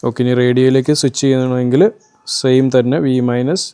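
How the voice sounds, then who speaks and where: A man's voice: medium pitch, flat delivery, a little fast, dark tone, smooth. A man with a Malayali accent from Kerala presents an electronics demo, explaining things informally, step by step. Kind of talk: informal product demo and tutorial.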